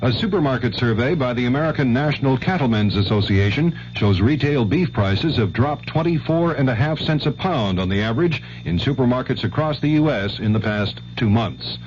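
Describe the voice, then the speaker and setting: A man's voice: mid-range voice, animated, fairly quick, hardly any pauses, a little mellow. A man reading a scripted news bulletin as a radio newsreader. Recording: dull old radio broadcast, faint hum.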